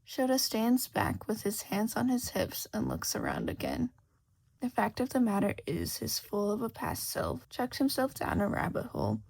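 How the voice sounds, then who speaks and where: A woman's voice: mid-range voice, gravelly, darkish tone, breathy edge. A woman reading a fan fiction story aloud as scripted narration. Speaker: podfic reader.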